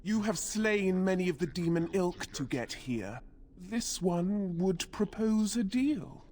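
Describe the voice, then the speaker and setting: A woman's voice: lowish voice, expressive, slow, dark tone, slight background noise. An actress voicing a menacing demon in a woman's body for a video game, haughty and archaic in manner. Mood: cold, bargaining. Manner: archaic, haughty, speaks of itself in third person. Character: menacing demon possessing a dead female warden commander.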